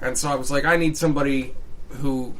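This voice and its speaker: A man's voice: medium pitch, steady tone, a little fast, a bit bright, a little hiss or hum. A man hosting a casual podcast conversation, telling stories with laughs and frequent swearing. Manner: storytelling, laughing, swears freely. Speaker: podcast host and musician.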